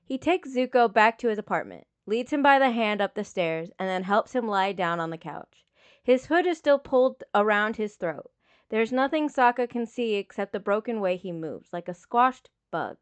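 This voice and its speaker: A woman reading dramatic fiction as a scripted narration, with measured, solemn phrasing. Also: medium pitch, animated, clear, resonant tone. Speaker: audiobook reader of fan fiction.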